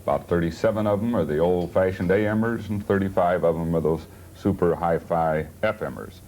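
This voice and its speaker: A man with a low, mellow voice hosts a talk show in a folksy, joking way. He introduces things casually, and the old TV broadcast sound has a faint hiss. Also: normal speed. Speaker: television talk show host. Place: television studio. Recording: hissy 1970s TV broadcast audio.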